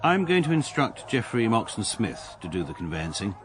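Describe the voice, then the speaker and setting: An actor with an English accent playing a stiff, formal barrister who narrates in a dry, deadpan way. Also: male voice, lowish voice, normal speed, background noise. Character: stiff, pedantic upper-class male barrister. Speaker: lawyer narrator.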